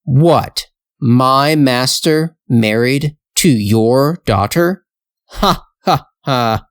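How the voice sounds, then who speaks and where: A man plays a scornful storybook palace guard. He exclaims in mocking disbelief, still drawn out and slow, with a very dry sound. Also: medium pitch, smooth, dry recording.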